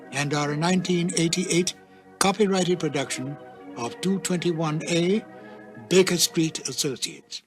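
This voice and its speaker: A man hosting and narrating a radio drama series from a script. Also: a little high. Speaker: host and narrator.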